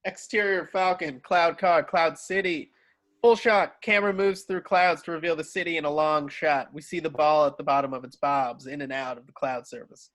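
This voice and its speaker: A man narrates a film script, reading out scene headings and stage directions. His voice is fairly high for a man, and he works as the narrator of a table read. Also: steady tone, normal speed, fairly bright tone.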